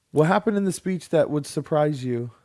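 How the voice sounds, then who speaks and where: A man's voice: on the high side, mellow, dry recording, clean studio sound. Opinionated man on a podcast. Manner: opinionated, thinks aloud with fillers. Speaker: podcast co-host. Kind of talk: casual chat.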